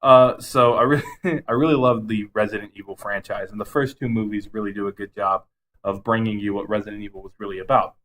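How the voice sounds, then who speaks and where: A man's voice: lowish voice, fairly even intonation, a little fast, fairly bright tone, slight background noise. A man co-hosting a podcast in casual chat about movies, often chuckling as he talks. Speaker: podcast co-host.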